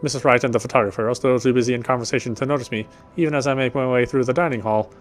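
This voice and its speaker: A man's voice: mid-range voice, fairly even intonation, rapid-fire, soft background music. A man reading a game's narration aloud with casual commentary, now and then tripping over words. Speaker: let's player.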